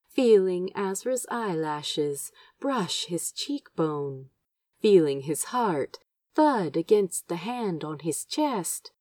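A woman's voice: mid-range voice, a little mellow, no room sound, clean studio sound. A woman reading fiction aloud as an amateur audiobook narrator, with tender, dramatic storytelling. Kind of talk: expressive fan fiction narration.

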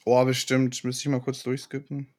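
A young man co-hosting a podcast, rambling excitedly and often laughing. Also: mid-range voice, normal speed. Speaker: podcast co-host. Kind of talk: casual chat.

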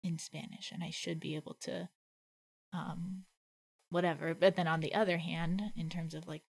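A woman's voice: fairly low pitch, flat delivery, moderate pace, vocal fry, husky. A woman co-hosting a casual faith conversation, reflective and thinking aloud with many "like" fillers. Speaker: podcast co-host.

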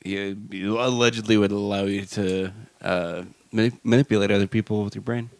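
A man in his thirties, talking quietly and low-key in a casual podcast chat on a microphone. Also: steady tone, a little fast, clear, resonant tone, no room sound.

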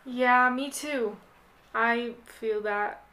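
A woman's voice: fairly high pitch, fairly even intonation, drawn-out words, sharp tone. A young American woman in her late teens, chatting casually as a podcast guest in a hesitant, rambling way with lots of "like". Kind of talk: casual chat.

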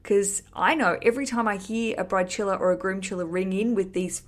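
A woman's voice: mid-range voice, fairly even intonation, a little fast. A woman hosting a podcast, chatting casually and effusively to listeners in a cheeky, sweary, rambling way. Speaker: podcast host.

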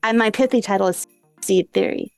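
A woman hosting a podcast in an informal, animated way, full of small asides and casual conversational phrasing. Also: medium pitch, a little fast, gravelly, dry recording, faint music behind. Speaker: podcast host.

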